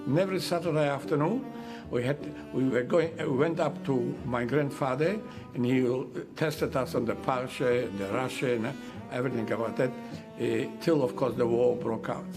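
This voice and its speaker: Elderly Polish-born man, halting recall. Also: mid-range voice. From Poland. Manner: halting, restarts phrases as he recalls. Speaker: Holocaust survivor giving testimony. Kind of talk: recorded testimony interview. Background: soft guitar music.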